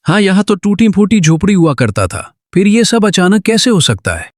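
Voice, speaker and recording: A man's voice: sits high, sing-song, normal speed, no room sound, clean studio sound. A man playing a greedy, scheming villager who puts on whiny pleas of poverty in a children's cartoon. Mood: astonished. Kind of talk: Hindi cartoon moral-tale voice acting.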